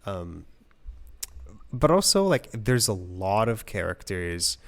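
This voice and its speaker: A man's voice: on the low side, big pitch swings, slow pace. A man in a casual review chat, explaining things in an informal, conversational way as a co-host. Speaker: audiobook review show co-host.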